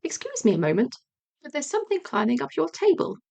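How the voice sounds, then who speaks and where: A woman's voice: high voice, huge pitch swings, brisk, creaky, a little mellow, voice pushed up, full character voice. A woman voicing a bouncy, cheerful young tiger-like animal in a storybook, eager, confident and emphatic. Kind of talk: storybook character voice.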